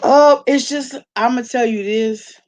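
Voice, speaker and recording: A woman's voice: on the high side, measured pace, a little mellow, lo-fi sound. A woman hosting a casual livestream, talking to viewers in an informal, slangy, conversational way. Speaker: live-stream host reacting to online gossip.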